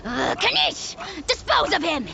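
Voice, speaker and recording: An actor voicing a small, boastful dragon lord spirit who shouts grand threats. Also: male voice, very high-pitched, huge pitch swings, a little slow, gravelly, heavily acted. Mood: scornful.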